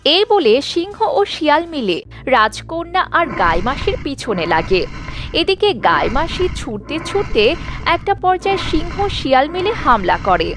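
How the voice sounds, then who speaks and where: A woman's voice: high voice, very animated, moderate pace, a bit bright, soft background music. A woman narrating a scripted children's story as the narrator.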